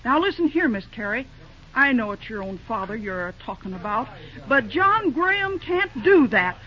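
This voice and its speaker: A woman's voice: fairly high pitch, sing-song, normal speed. An actress playing a fussy, kindly middle-aged woman who runs a country restaurant, speaking in homespun rural dialect in a 1930s radio drama. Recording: dull old radio recording.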